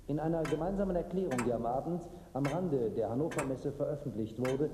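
A man reading a formal scripted radio news bulletin. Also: mid-range voice. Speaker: news reader. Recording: echoey hall, noisy archive radio, ticking clicks.

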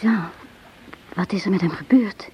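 An actress playing an anxious, devoted sister in a Dutch radio play, speaking in a hushed, breathy, emotional way. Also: female voice, medium pitch, unhurried, natural voice. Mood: anxious, pleading. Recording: noisy old radio play recording.

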